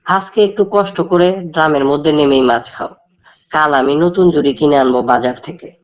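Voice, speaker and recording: A woman's voice: low voice, moderate pace, lo-fi sound, lightly acted. A woman playing a kindhearted, earnest male fisherman in a cartoon. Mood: matter-of-fact. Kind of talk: cartoon character dialogue.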